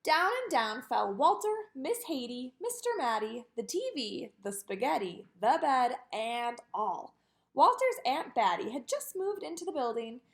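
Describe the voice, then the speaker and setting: A woman reading a children's picture book aloud in an expressive way, with sing-song delivery on repeated lists. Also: high voice, a little slow. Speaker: storybook reader.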